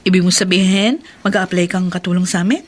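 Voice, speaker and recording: An actress with a Filipino accent playing a tired but kindly, frank housewife in a radio drama. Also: female voice, lowish voice, steady tone, quick pace, lightly acted. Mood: curious, kindly.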